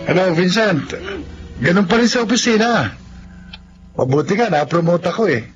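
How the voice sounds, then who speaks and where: Loyal, friendly young Filipino man for radio drama; slightly high voice, dull sound, faint music. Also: lively intonation, normal speed. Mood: cheerful, friendly.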